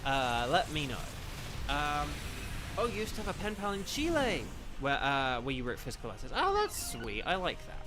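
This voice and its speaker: A young man streaming a game, chatting casually with his chat, rambling with lots of fillers, a bit croaky. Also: fairly high pitch. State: slightly croaky from eating. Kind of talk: casual gaming livestream chat.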